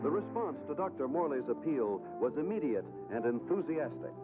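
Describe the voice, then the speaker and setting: A man's voice: medium pitch, big pitch swings, moderate pace, natural voice. A man playing a grave American newsreel announcer of the 1950s, with a formal, dramatic delivery. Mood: brisk, declarative. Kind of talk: 1950s newsreel scripted narration. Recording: tinny, band-limited old film soundtrack. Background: loud newsreel music.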